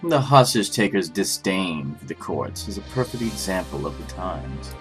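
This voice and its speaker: A low, measured voice for a stern chief prosecutor played by a man. He is formal and dignified, choosing his words deliberately, as in a video game drama. Music plays underneath. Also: animated. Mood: composed. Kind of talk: scripted anime-game fan dub acting. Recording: music behind the voice.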